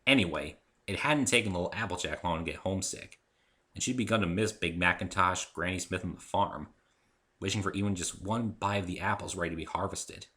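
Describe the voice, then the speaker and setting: A man's voice: deep voice, brisk, sharp tone. A man giving a dramatic reading of his own first-person story as narrator.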